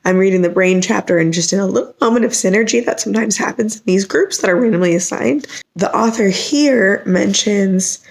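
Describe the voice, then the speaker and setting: A sick woman losing her voice gives a quick, offhand vlog update on her reading, speaking hoarsely and half in a whisper. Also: medium pitch. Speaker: book reviewer.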